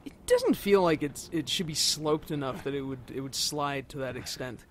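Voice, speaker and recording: A man's voice: fairly high pitch, moderate pace, a little hiss or hum. A man chatting casually and jokingly as co-commentator on a video game playthrough. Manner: playful, joking. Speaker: co-commentator on a let's play. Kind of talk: casual chat. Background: video game sounds.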